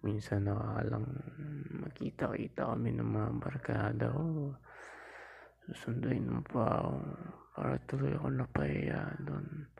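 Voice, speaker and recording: Drunk young Filipino boyfriend , played by a man, ASMR roleplay. Very gravelly, breathy half-whisper, drawling, playful and clingy. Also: mid-range voice, fairly even intonation, unhurried, long pauses, a little mellow, full character voice. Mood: stubborn, sulky. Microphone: close lapel mic into a mobile phone.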